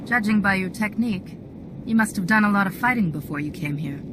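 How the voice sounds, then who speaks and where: A woman playing a composed, compassionate female Jedi commander in a game cutscene, calm and earnest. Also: medium pitch, brisk, noisy background, lightly acted. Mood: gentle. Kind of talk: video game cutscene acting. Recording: music under the voice.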